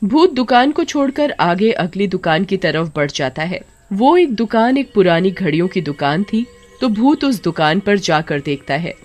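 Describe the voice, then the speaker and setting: Lively, dramatic storybook narrator. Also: mid-range voice, normal speed, faint music behind.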